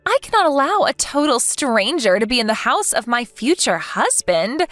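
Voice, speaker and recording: A woman's voice: sits high, very animated, moderate pace, fairly bright tone, dry recording, soft background music. Woman as gloating young mistress, sneering, condescending, swooping intonation, dry close sound, story drama. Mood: haughty gloating. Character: smug, taunting young mistress sneering at her rival.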